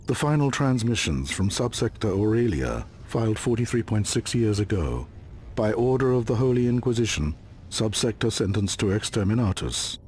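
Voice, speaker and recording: Clipped war report voice, lowish, faint hiss behind. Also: male voice. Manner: flat, clipped report reading. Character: male archive voice reading a war report. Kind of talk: video game cutscene narration.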